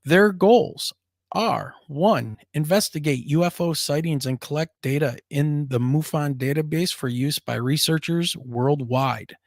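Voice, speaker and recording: A man's voice: fairly high pitch, measured pace, creaky, no room sound, clean studio sound. Man with a scratchy, worn voice. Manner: upbeat, chatty, laughs easily. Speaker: co-host of a paranormal talk show. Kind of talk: casual live-stream chat.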